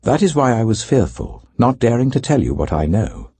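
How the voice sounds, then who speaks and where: Indignant young biblical speaker, acted by a male narrator, emphatic and oratorical, gravelly, dramatically varied in pitch. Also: sing-song, normal speed, dark tone, dry recording. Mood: indignant, earnest. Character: earnest, indignant young man rebuking his elders.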